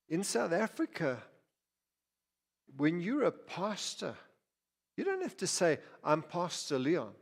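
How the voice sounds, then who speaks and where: A man from Zimbabwe preaching a sermon as pastor, talking conversationally with frequent restarts, asides and repeated words. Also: sits high. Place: church. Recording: reverberant hall.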